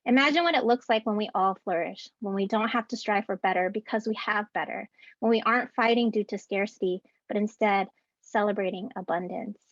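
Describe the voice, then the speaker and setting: A woman in her thirties, an educator, giving a prepared ceremony speech from home over a video call in an earnest, deliberate way, reading out rhythmic lists. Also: mid-range voice, dry recording. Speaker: keynote speaker and educator. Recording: dull, dry video-call audio.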